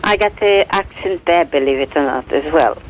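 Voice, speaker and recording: Chatty caller with a Polish accent. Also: female voice, low voice, moderate pace, a little hiss or hum, poor audio. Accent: Polish, mixed with English Midlands. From Poland. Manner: chatty and giggly. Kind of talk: casual phone-in chat.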